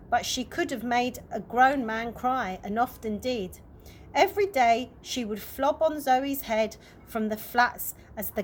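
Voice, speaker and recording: A woman narrates a children's bedtime story in a fairly high voice. She reads aloud from a chapter book as an early years worker would. The recording has clear noise behind her.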